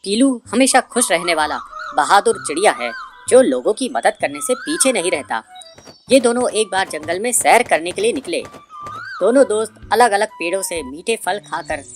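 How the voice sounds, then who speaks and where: A woman's voice: mid-range voice, lively intonation, normal speed. A woman doing scripted narration for a children's cartoon story. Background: faint music.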